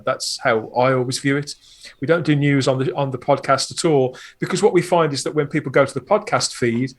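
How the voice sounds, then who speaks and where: A man chatting enthusiastically in an interview as a podcast host and producer, explaining things at length. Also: fairly high pitch. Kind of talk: conversational interview talk.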